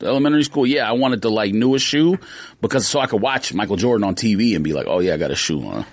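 Male co-host for casual banter: blunt, argumentative, slangy, lively intonation, no room sound. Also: on the low side, fast talker, no room sound. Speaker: podcast co-host. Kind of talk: casual sneaker podcast banter.

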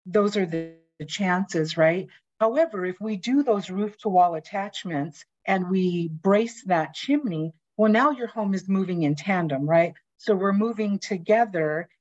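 A woman's voice: mid-range voice, unhurried, darkish tone, muffled recording. A woman from a home retrofit program talking in a chatty, informal way on a panel. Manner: chatty, informal, many fillers. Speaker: seismic retrofit program staff. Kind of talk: panel discussion.

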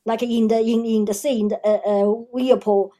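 Podcast guest: a woman, Chinese-accented English, slightly high voice, hesitant phrasing with frequent restarts. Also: little pitch movement, warm tone. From China. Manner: halting, many fillers and restarts. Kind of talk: interview answers.

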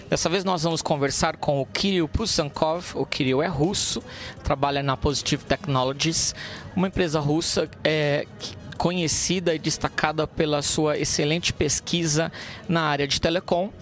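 Man, podcast host, slightly high voice, faint music behind. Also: fairly even intonation, normal speed. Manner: plain, questioning, brief affirmations. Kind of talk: podcast interview. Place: conference event venue.

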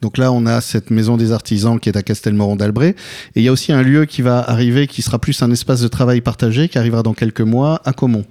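Male interview host, soft mellow tone, conversational, searching for his phrasing. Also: mid-range voice, fairly even intonation, fast talker.

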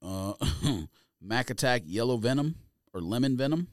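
A man's voice: mid-range voice, expressive, a little slow, dry recording, studio quality. A man co-hosting a casual podcast, bantering and reading out items with offhand, jokey comments. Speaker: sneaker podcast co-host.

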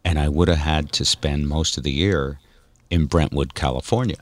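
A man's voice: very deep voice, fairly even intonation, moderate pace, no echo. A man hosting a podcast, telling stories in a casual, informal and self-deprecating way. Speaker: podcast host. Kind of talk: casual chat, storytelling.

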